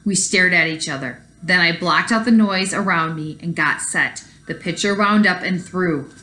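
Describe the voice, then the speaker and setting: A woman's voice: fairly low pitch, moderate pace, sharp tone, rough recording. A woman teacher reading a children's story aloud expressively and explaining it to young pupils. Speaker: elementary school teacher.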